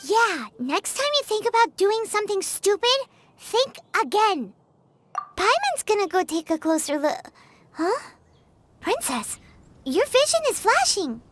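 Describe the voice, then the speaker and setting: Game fairy companion, squeaky and childlike, very high and bouncy; blunt, excitable, loud music underneath. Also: female voice, unhurried, no echo, heavily acted. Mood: bossy. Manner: excitable and blunt, talks about herself by name. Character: small floating girl fairy companion, chatty and blunt.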